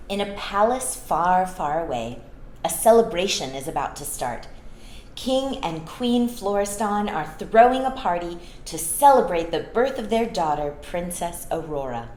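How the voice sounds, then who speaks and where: A woman with a slightly low voice reads a children's story aloud as a storytime reader. She speaks in a gentle, teacherly way to young listeners. The room sounds very echoey, with some faint noise in the recording. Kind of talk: read-aloud children's storytelling with explanatory asides. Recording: roomy echo, some background noise.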